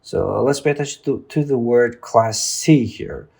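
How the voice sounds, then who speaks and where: A man with a mid-pitched voice gives an informal lesson as a tutor. He speaks slowly and haltingly, feeling for words and often adding a quick check for agreement. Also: creaky, darkish tone, breathy edge. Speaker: English exam tutor. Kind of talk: informal lesson, walking through a reading test.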